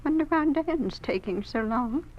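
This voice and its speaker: A gracious older farm wife in a classic radio play. Her voice sits fairly high and is polite and homely, on a dull vintage recording. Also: lively intonation, unhurried, very noisy. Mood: polite, gentle. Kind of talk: 1950s radio drama acting.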